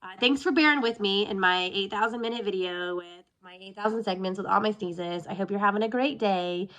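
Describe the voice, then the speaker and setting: A woman's voice: medium pitch, a little fast, a bit bright. A woman in her thirties, sniffly from allergies, talking candidly in a casual self-recorded video check-in and drifting off on tangents. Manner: candid, chatty, goes off on tangents. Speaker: military spouse vlogger.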